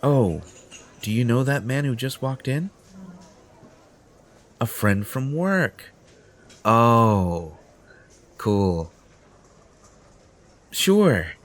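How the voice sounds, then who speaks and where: A man's voice: mid-range voice, animated, very slow, pauses often. A man playing a smug, possessive, entitled superhero in an audio roleplay, pompous and condescending in manner. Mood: smug, coaxing. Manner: pompous, self-satisfied, condescending. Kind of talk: audio roleplay acting addressed to the listener.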